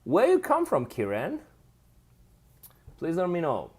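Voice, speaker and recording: A man's voice: on the high side, huge pitch swings, takes it slowly, darkish tone, slightly rough. A man with a Japanese accent hosting a casual live stream, reading viewer comments aloud and laughing often as he chats.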